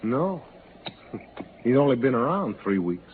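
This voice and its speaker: Proud folksy outlaw, old cowboy radio show, scratchy and tinny. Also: male voice, mid-range voice, big pitch swings, slow pace. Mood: earnest, proud. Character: proud, defiant male Western outlaw, a new father. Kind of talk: 1950s Western radio drama acting. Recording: scratchy, noisy, narrow old radio recording.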